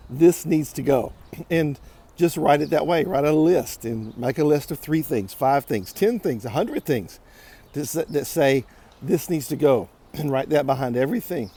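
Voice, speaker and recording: A man giving a casual motivational monologue as a vlog host while walking outdoors, deliberate and repeating phrases for emphasis. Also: on the high side, warm tone. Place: outdoors, walking on city streets. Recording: clear outdoor street noise.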